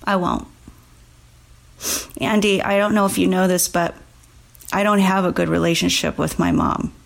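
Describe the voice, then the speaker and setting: A woman with a fairly low voice talks in an intimate, personal conversation. She is gentle and supportive, answering simply and murmuring as she listens. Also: fairly even intonation, normal speed, gravelly. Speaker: daughter speaking with her biological father.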